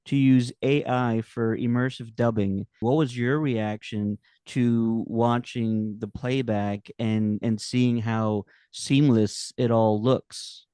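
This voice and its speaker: A man's voice: medium pitch, fairly even intonation, slow, few pauses, dark tone, smooth, no room sound. A male interviewer asking polished, complimentary questions and wrapping up an entertainment interview.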